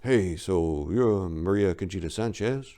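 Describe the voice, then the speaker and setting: A man voicing a short, squat, businesslike police investigator in a crime story, hushed and brisk. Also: low-pitched.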